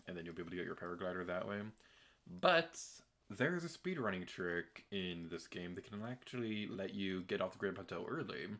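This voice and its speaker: Deep gravelly man streaming a game. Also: a bit bright. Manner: chatty and self-deprecating, with filler words and laughs. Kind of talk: casual gameplay commentary.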